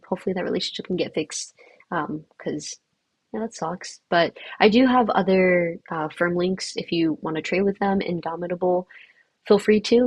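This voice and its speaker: A young woman who grew up speaking Vietnamese chats casually with her livestream viewers. Her voice is low-pitched, gravelly and husky, recorded dry and close. She talks in an upbeat way with lots of fillers. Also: dry recording. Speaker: livestream host.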